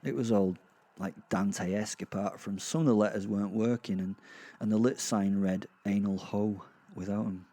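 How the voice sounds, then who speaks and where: A British man narrating a darkly comic audio drama in the first person, with wry, conversational, halting asides. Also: on the low side, fairly even intonation, dark tone.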